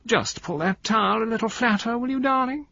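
A man voicing a vain, seductive woman with a high, affected drawl, in a storybook narration. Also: a little fast, creaky, sharp tone, no echo, lo-fi sound, full character voice. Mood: languid gushing. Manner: affected, theatrical, raised voice.

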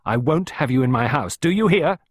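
A man's voice: mid-range voice, very animated, normal speed, husky, no room sound, clean studio sound, voice pushed up. A man voicing a protective married woman in an audiobook, with a firm, commanding manner that can turn soothing. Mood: angry. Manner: firm, sharp orders softening to reassurance.

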